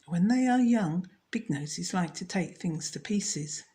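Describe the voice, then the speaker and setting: A woman's voice: lowish voice, animated, measured pace, dark tone. A woman voicing a knowledgeable, reassuring male monster expert in a children's storybook, explaining things with assurance. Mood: assured.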